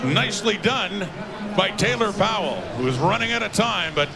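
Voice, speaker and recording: A man calling football play by play in a live broadcast. He delivers an energetic, punchy call of each play. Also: high voice, moderate pace. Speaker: play-by-play announcer. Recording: TV broadcast over loud crowd and music.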